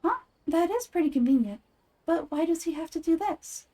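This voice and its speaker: A woman voicing an excitable, candid little fairy companion from a game, perky and easily flustered. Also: extremely high pitch, normal speed. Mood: puzzled.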